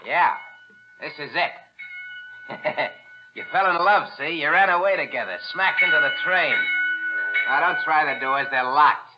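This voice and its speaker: An actor playing a villain in a 1940s radio thriller. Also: male voice, fairly high pitch, unhurried, long pauses, natural voice. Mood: menacing, gloating. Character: gloating male villain who turns to panicked screaming. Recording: muffled old radio broadcast, loud music.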